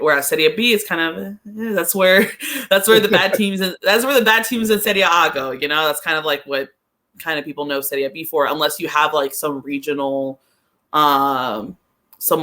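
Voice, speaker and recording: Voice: medium pitch, fairly bright tone. A podcast guest giving casual, tentative opinions in a football discussion.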